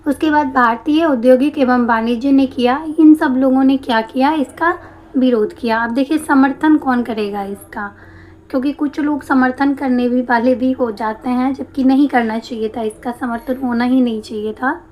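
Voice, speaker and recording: A woman teacher with a slightly hoarse throat, explaining a history lesson step by step and answering her own questions. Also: high voice, moderate pace, a little mellow. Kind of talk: exam-prep history lesson.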